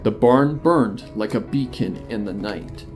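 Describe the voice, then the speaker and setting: A man reading a dark story aloud as a narrator, in a dramatic scripted style. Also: lowish voice, unhurried, faint music behind.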